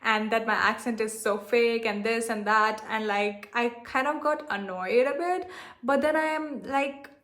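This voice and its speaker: A young woman from India with a softened, globalized Indian accent, talking candidly and defiantly straight to her viewers, repeating herself and leaning on "like". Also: a little high, a little slow. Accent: Indian, softened toward a global accent. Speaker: self-love coach and channel host. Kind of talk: direct-to-camera vlog monologue.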